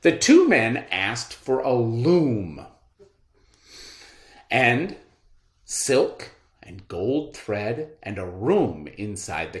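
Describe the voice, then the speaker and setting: A man reading a storybook aloud in a deliberate, theatrical narrating style. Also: medium pitch. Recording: roomy echo.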